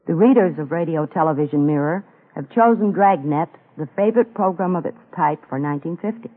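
A woman's voice: sits low, a little fast, husky. A woman giving a formal, scripted presentation speech on 1950s radio. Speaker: magazine editor presenting an award. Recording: tinny 1950s radio recording.

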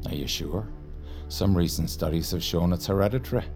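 A man acting a smug, menacing interrogator, measured and condescending, in an audio drama. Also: low-pitched, darkish tone, faint music behind, close to an everyday voice. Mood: calm, condescending. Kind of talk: naturalistic audio fiction acting.

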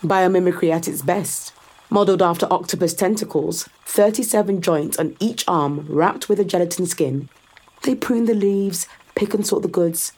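A woman's voice: lowish voice, normal speed, darkish tone, slightly rough. An actress playing a confident, sardonic woman in a science fiction audio drama, explaining things to a skeptical visitor with assured, dryly teasing delivery. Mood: proud, informative.

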